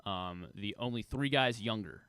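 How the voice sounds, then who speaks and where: A man with an American accent chatting casually about sports as a radio co-host, with a somewhat halting, hesitant delivery on a close studio microphone. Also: on the low side, fairly bright tone, smooth, no echo.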